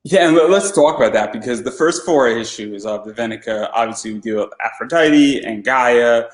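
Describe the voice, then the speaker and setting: Host: eager male interviewer, echoey room, repeats himself when excited. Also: medium pitch, a little fast. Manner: enthusiastic, stumbles and repeats words. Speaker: podcast host. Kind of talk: casual interview. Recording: roomy echo.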